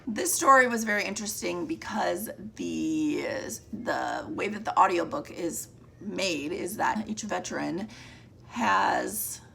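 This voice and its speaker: A woman with a deep, cracking voice chatting casually about the books she read, often trailing off and restarting. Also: lively intonation, slow, fairly bright tone, slight background noise. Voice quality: deep, gravelly voice. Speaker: book vlogger. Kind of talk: casual monthly reading wrap-up.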